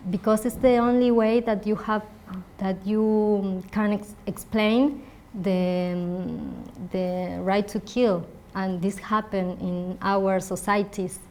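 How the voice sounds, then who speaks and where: A woman from Argentina with a Spanish accent, speaking haltingly and searching for words as she gives an academic talk. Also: medium pitch, steady tone, darkish tone. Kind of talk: academic talk and answering questions. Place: indoor university conference room. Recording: noisy conference room recording.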